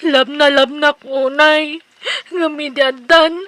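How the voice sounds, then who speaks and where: A woman's voice: high voice, steady tone, takes it slowly, a bit bright, no echo. An actress playing a coy, lovesick and respectful young girlfriend in an Ilocano radio comedy drama, with an Ilocano accent. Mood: tearful pleading.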